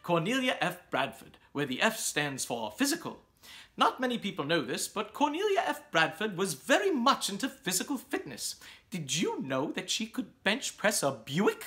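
A man as a high-voiced, fussy storytime host. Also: wildly swooping pitch, moderate pace, bright, forceful tone, slightly rough, faint music behind, full character voice. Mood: genteel musing. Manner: pompous, exclamatory, musing. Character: fussy, theatrical gentleman host of children's storytime. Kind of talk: comic children's show presenting.

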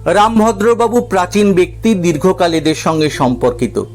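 A man narrating a scripted dramatic story in a measured, literary storytelling manner. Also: sits high, faint music behind.

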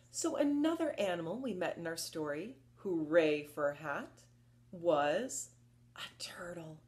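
A woman in her fifties presenting story time for young children, reading and explaining in an expressive, encouraging manner. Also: fairly low pitch. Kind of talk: children's read-aloud and instruction. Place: small furnished room at home, indoors.

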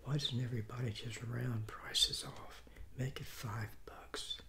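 A man in his sixties, hoarse from a cold, reviewing fast food in a soft, half-whispered ASMR style with many restarts, seated at a table indoors. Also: mid-range voice, fairly even intonation. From Southeastern United States. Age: 60s. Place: small room at a table, indoors. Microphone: fixed camera mic at close range.

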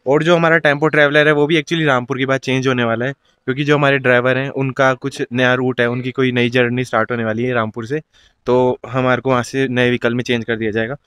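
A man's voice: medium pitch, fast talker, clean tone, no echo, studio quality. A young man in his twenties chatting casually as a travel vlogger, filming himself at arm's length outdoors. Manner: chatty, relaxed, says "yaar" often. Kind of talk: casual travel vlog to camera. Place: outdoors on mountain roads and hotel grounds. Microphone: phone or camera held at arm's length.

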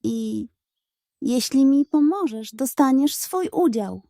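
A woman's voice: sits high, animated, slow, gravelly, dark tone, no room sound, studio quality, voice pushed up, exaggerated voice. A woman voicing a scheming, hungry male pug in a children's storybook, with a pleading, theatrical, self-important manner. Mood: eager, persuasive.

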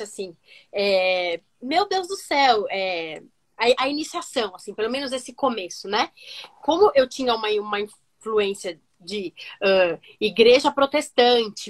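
A woman, telling stories on a video call from a room at home, animated and full of fillers. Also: fairly high pitch. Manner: animated, gestures a lot, many fillers. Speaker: music teacher guest. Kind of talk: casual storytelling interview.

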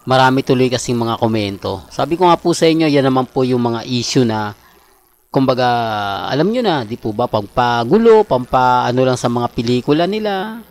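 A man's voice: mid-range voice, expressive, moderate pace. A man with a Filipino accent hosting a fan channel, chatting casually through celebrity updates and often checking that listeners agree. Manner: conversational, repeatedly seeking agreement with tag questions.

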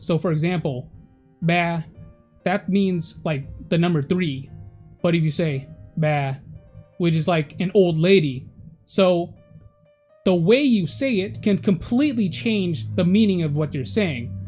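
A man's voice: sits high, dark tone. A man in his thirties, Vietnamese his first language, telling stories about his childhood in an easygoing, chatty vlog style with small self-corrections. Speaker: vlogger. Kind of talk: personal vlog storytelling. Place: indoor room at home. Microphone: camera facing him, head and shoulders.

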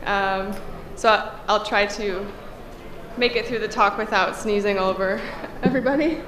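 A woman's voice: mid-range voice, moderate pace. A woman in her thirties giving a technical conference talk at a podium, a little congested from a cold, speaking conversationally with frequent fillers. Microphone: podium microphone. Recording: echoey hall, faint room hum.

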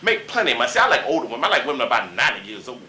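A man's voice: mid-range voice, big pitch swings, racing, pushed and bright, slight background noise. A man in his forties from Dayton, Ohio, doing a rambling, boastful comic rant on a local talk show. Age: 40s. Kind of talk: comic monologue and banter. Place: small room indoors.

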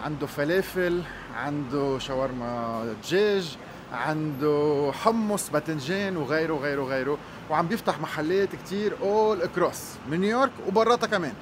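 Rapid-fire Lebanese man on a busy street. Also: on the high side. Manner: animated, mixes Arabic with English. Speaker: food vlogger. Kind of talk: food review vlog. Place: city street outdoors. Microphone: handheld phone at arm's length. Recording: noisy street recording. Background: street traffic noise.

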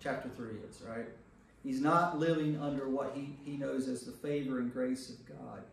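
A man's voice: mid-range voice, fairly even intonation, normal speed, creaky. An American man leading a Bible study as a priest, speaking informally and conversationally to a group and checking in with questions. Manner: conversational, puts questions to listeners, checking in with "right?". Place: indoor church meeting room with a group. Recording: echoey room from far off, noisy.

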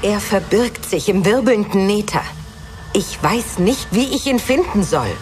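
An actress plays a wary, determined female elf archer in a fantasy audiobook. Her mid-pitched voice moves expressively, with music behind it. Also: lively intonation, a little slow, a little mellow, lightly acted. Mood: worried.

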